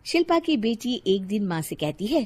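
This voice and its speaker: A woman narrating a cartoon story in a soft, even storytelling delivery. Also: mid-range voice, moderate pace.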